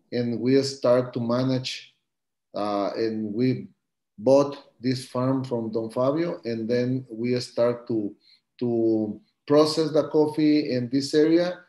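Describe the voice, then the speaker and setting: A man from Guatemala, a coffee farmer, answering interview questions over a video call in halting English with many fillers. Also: medium pitch, mellow, echoey room. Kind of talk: live-stream interview answers.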